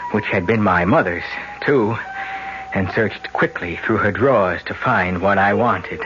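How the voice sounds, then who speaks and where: An actor playing a scared, scheming young son. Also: male voice, fairly low pitch, unhurried, music in the background, natural voice. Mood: grim. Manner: pleading as a character, calm and grim as narrator. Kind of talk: 1970s radio drama acting and narration. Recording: dull vintage radio recording, faint hiss.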